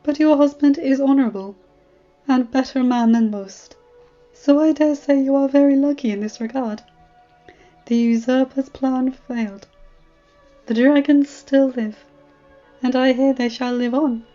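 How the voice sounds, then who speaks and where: A woman voicing a kindly, wise, very elderly male maester in a fantasy story, speaking in a courtly, measured way. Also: sits high, warm tone. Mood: tender. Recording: muffled audio with music.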